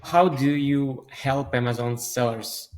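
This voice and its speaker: A man's voice: mid-range voice, slow pace. A man hosting a podcast, reading a scripted intro and asking his guest questions. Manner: reads intro from script, then asks questions with fillers. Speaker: podcast host.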